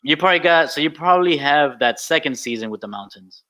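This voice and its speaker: A man's voice: a little high, lively intonation, quick pace, pushed and bright, low-quality recording. A man co-hosting a podcast, chatting casually and conversationally. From Miami, Florida. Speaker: podcast co-host. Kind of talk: casual chat.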